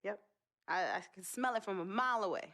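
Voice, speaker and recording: Combative, sarcastic American sister. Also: female voice, mid-range voice, lively intonation, a little fast, a bit bright. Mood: guarded, wry. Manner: sarcastic, guarded. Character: protective, combative sister and gatekeeper of star athlete. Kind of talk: video game cutscene acting.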